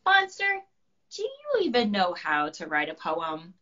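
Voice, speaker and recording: A woman voicing an excitable male dragon from a storybook, proud and teasing, with theatrical exclamations. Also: lowish voice, unhurried, muffled recording, exaggerated voice. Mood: indignant.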